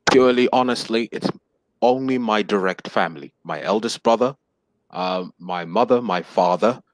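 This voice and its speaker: A man in his thirties with a low voice, speaking earnestly and politely over a video call. The sound is dry and close. He is formal and measured, and he often calls others sir. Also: no room sound, low-quality recording. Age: 30s. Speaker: panel guest. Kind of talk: casual panel discussion.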